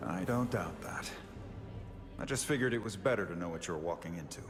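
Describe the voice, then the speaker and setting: A man playing an earnest, weary starship officer in a video game, speaking in a measured, sincere, formal way. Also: deep voice, animated. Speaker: Starfleet officer character in a game. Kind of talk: cinematic video game dialogue. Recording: game audio with prominent music underneath.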